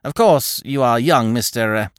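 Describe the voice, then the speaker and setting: A man's voice: medium pitch, lively intonation, moderate pace, smooth, dry recording, clean studio sound, voice pushed up, natural voice. Man putting on a prim older lady's voice. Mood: prim, disapproving. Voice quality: prim, affected. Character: woman, older, prim and disapproving. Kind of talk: audiobook reading.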